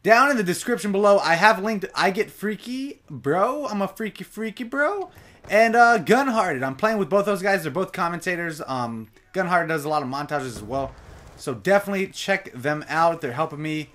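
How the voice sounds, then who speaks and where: A young man giving casual live commentary over his own gameplay, talking straight to his viewers, his voice a bit raspy from long sessions. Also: high-pitched, animated, normal speed, a bit bright. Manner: chatty, casual, addresses viewers directly.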